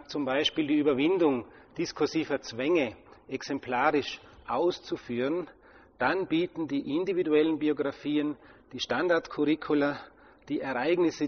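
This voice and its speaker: A German man gives a scholarly answer in a lecture hall, his husky voice softened by a dull, muffled recording. The long reverb hangs behind his careful, hesitant phrasing full of fillers and restarts. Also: mid-range voice. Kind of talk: academic discussion.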